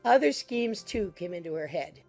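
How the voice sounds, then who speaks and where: Creaky-voiced woman narrating a classic novel. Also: on the low side, animated, normal speed. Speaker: audiobook narrator. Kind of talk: scripted literary narration. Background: soft music.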